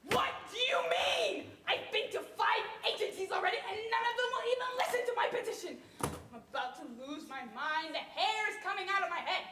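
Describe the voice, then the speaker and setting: A woman playing a frantic, insistent petitioner who turns melodramatic and shouts. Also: extremely high pitch, expressive, quick pace, noisy background. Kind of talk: stage comedy acting. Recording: echoey room.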